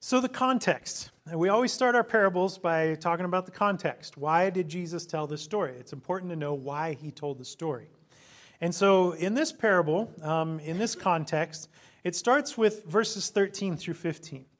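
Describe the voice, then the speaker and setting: A hoarse man preaching a sermon as a pastor, earnest and preacherly, with frequent ums. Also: normal speed. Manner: prays in a soft, low voice.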